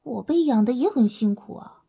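Woman playing glum young captive, timid, soft grumbling, husky, mellow, audio drama. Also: on the high side, animated, moderate pace, heavily acted. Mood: flustered protest.

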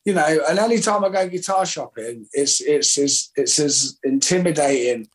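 A man, a musician chatting casually in an interview in a relaxed, rambling, self-deprecating way. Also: a little high, moderate pace. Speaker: musician guest.

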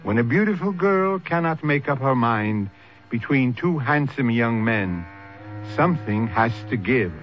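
A man hosting an old-time radio mystery, narrating in a measured, dramatic, portentous way. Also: mid-range voice, expressive, lightly acted. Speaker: host and narrator. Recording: dull old radio recording with loud hum.

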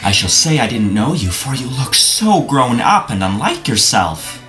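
A man's voice: medium pitch, big pitch swings, normal speed, fairly bright tone. A young man playing a frank, gallant teenage boy in an audio play, blunt but courteous. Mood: teasing. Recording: music playing behind the voice.

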